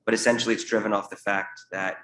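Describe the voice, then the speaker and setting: A man's voice: lowish voice, level tone, fast, fairly bright tone. A young man speaking on a panel in a candid, casual way, often repeating words. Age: young adult. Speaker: family office investor, panelist. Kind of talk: conference panel remarks. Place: conference room with live audience. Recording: echoey room, faint hum.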